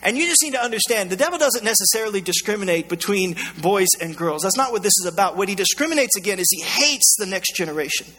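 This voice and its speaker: A man's voice: high-pitched, expressive, quick pace, hardly any pauses, pushed and bright. A man with an American accent preaching a sermon in an emphatic, colloquial way, repeating phrases, his voice scratchy and strained. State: scratchy, strained voice going hoarse. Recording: long hall reverb.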